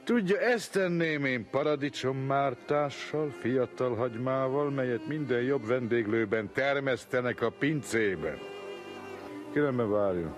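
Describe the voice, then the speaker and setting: An actor playing a fussy, food-loving gentleman diner who orders in a savoring, particular, old-fashioned way in a dramatized literary reading. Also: medium pitch, faint music behind, natural voice.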